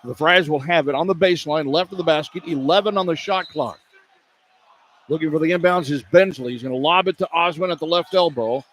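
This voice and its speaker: Male play-by-play radio commentator, fairly high voice, short clipped running calls, light arena noise. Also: a little fast, a bit bright. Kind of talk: live basketball play-by-play commentary. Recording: arena crowd noise behind broadcast. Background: arena ambience.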